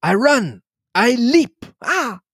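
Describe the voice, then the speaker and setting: A man voicing an excitable little foreign detective who is bursting with theatrical glee. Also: very high-pitched, takes it slowly, slightly rough, no echo, clean studio sound, pitched above the performer's own voice, heavily acted. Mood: exultant. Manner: exuberant, theatrical.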